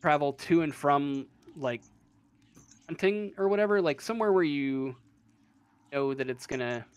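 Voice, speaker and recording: A man's voice: a little high, lively intonation, unhurried, clear, resonant tone. A man acting as game master, casually explaining a tabletop roleplaying game in a hesitant way, as if thinking aloud.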